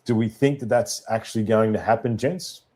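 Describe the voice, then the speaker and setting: Australian male host, matey and joking, lower-pitched and warm, loose rambling delivery. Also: steady tone, moderate pace. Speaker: podcast host. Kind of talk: casual in-person chat.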